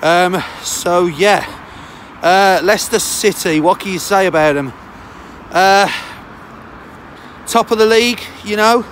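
A man, hoarse from a sore throat, chatting through a football match-day vlog to his phone outdoors with lots of fillers. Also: high-pitched, slow pace, pauses often, a bit bright, slight background noise. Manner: chatty, rambling with many fillers. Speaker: football fan vlogger. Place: outdoors on a city street on a cold day. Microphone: phone held at arm's length.

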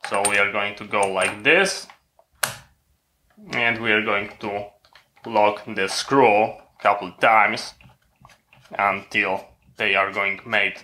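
A man's voice: fairly low pitch, level tone, slow, long pauses, sharp tone. A man who is a non-native English speaker, presenting a hands-on equipment tutorial in a methodical, step-by-step way. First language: not English.

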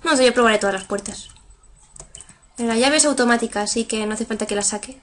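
A young woman with a Spain Spanish accent, giving casual, excitable commentary on a game at her computer. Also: fairly high pitch, fast talker. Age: young adult. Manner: excitable, laughs often, sudden shrieks. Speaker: let's player. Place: room at a computer.

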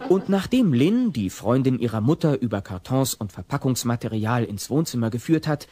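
A man with a husky voice narrating a scripted radio play. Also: mid-range voice, big pitch swings, moderate pace, mellow.

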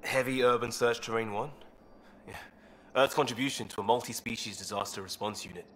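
An actor playing an easygoing young male crewman, a former cop, relaxed and joking. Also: mid-range voice, brisk, slight background noise, natural voice.

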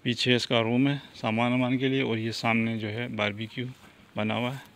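A man walking through a farmhouse with his phone camera, pointing things out in a relaxed, plain way. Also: mid-range voice, normal speed, smooth. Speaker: vlogger giving a property tour. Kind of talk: casual walkthrough narration. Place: outdoors and indoors at a farmhouse. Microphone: phone camera held by the speaker.

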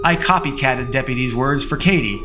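A man narrating a first-person action story in a measured, dramatic reading. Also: mid-range voice, music in the background.

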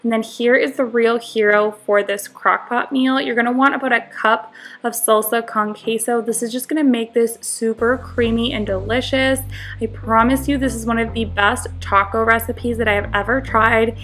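A woman's voice: a little high, steady tone, normal speed, a bit bright. A young woman giving a friendly, instructive voice-over for a home cooking tutorial. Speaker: cooking vlogger.

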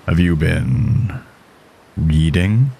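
A man's voice: very deep voice, very slow, gravelly, warm tone, a little hiss or hum, exaggerated voice. A man voicing a weary, evasive, intense doctor with a musing manner in a horror story. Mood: probing.

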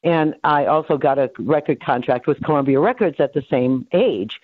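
A woman's voice: low voice, animated, normal speed. A woman in her seventies from New York City, rambling through her life story as an interview guest, full of fillers and restarts. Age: 70s. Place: home office. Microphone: headset on a video call. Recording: tinny phone-like dry sound.

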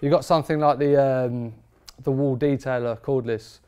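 Enthusiastic barber, smooth voice. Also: male voice, mid-range voice, steady tone, normal speed, warm tone. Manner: enthusiastic, emphatic, informal. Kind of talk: product review chat. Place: barber shop, indoors.

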